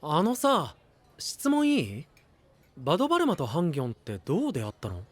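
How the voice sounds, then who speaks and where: A man's voice: on the high side, wildly swooping pitch, fairly quick, soft background music. A man playing a friendly, curious, easygoing young knight with casual, frank phrasing in an anime-style audio drama.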